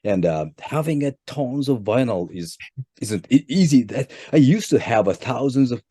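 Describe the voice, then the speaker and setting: Japanese-accented male guest, lively pitch, mellow tone, dry close sound. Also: husky, dry recording. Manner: halting, repeats words, pitch jumps up at each new point. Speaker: band leader, interview guest. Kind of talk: casual interview answers.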